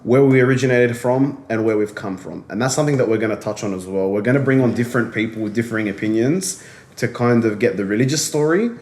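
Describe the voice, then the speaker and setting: A man's voice: medium pitch, fast talker, a little mellow. A young man from Australia co-hosting a podcast, talking earnestly and stressing points by repeating himself. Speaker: podcast co-host. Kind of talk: casual podcast discussion.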